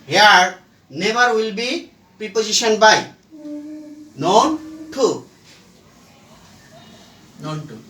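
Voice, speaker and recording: A teacher explaining English grammar step by step, in short halting phrases broken by pauses. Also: medium pitch, very animated, pushed and bright, a little hiss or hum. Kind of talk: slow explanatory grammar lesson. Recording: roomy echo, distant microphone.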